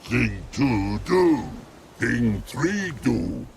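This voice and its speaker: An actor voicing a big, dim, stubborn rock troll in a video game, gravelly and halting, with broken grammar. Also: male voice, mid-range voice, very animated, a little hiss or hum, exaggerated voice. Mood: earnest, muddled. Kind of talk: video game character acting.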